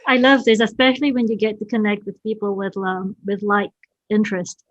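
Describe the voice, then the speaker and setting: A woman from Malaysia hosting an online session and presenting informally, haltingly, repeating phrases and often checking in with the listeners. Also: mid-range voice, flat delivery, no echo. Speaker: event host and speaker. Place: online video meeting. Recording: dull, slightly lo-fi online call audio.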